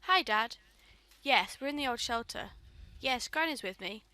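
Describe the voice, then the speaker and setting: An actress in a radio play playing a caring, curious young granddaughter with a gentle, questioning manner. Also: female voice, on the high side, animated, measured pace, dry recording, soft background music. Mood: bright, affectionate.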